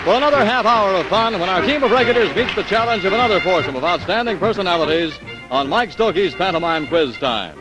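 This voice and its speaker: A man's voice: high-pitched, animated, quick pace, rough recording. A man reading scripted announcements as a 1950s television announcer. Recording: old TV soundtrack, loud music behind. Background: loud theme music.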